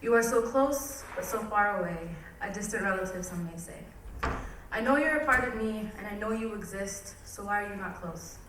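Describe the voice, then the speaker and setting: A young woman reciting a spoken word poem at a community event, with a measured, solemn delivery. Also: medium pitch. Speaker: poet performing at a cultural event. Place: large event hall. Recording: echoey hall from far off, faint noise.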